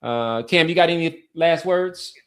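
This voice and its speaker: A man's voice: on the high side, unhurried, smooth. A man with an American accent hosting a casual sports talk show. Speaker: sports podcast host.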